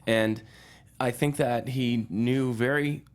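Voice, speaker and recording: A man speaking as a guest film critic in casual movie talk, halting and repeating phrases as he thinks aloud. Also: medium pitch, fairly even intonation, clear, resonant tone.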